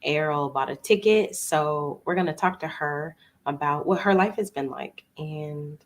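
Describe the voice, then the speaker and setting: A woman's voice: deep voice, measured pace. A woman host running a live podcast, talking in a casual, slangy, playful way.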